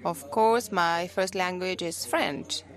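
A woman's voice: fairly low pitch, slow pace. A woman interpreting live into English, rephrasing on the fly with small restarts.

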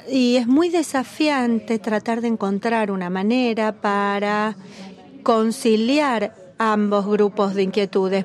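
A woman interpreting a formal meeting as it happens, in a measured, halting delivery that stops often to catch up with the speaker. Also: mid-range voice, a little mellow. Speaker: simultaneous interpreter at a policy meeting.

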